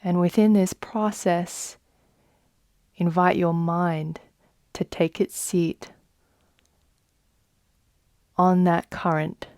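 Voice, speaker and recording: Slow, mellow woman guiding meditation. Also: fairly low pitch, steady tone, no room sound, clean studio sound. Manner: soft, soothing, unhurried with long pauses. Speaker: yoga nidra guide. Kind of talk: guided meditation.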